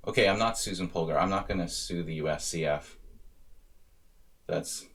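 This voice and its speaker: A man's voice: low voice, normal speed, fairly bright tone. A man in his thirties casually commenting on his chess games on a live stream, joking with chat as he thinks aloud about moves. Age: 30s.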